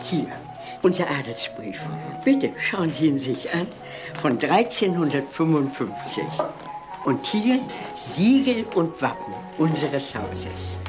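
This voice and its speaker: A woman's voice: sits low, big pitch swings, slow pace, music in the background, exaggerated voice. An older woman playing a haughty, blunt old countess in a German crime radio drama, with an aristocratic, brusque manner.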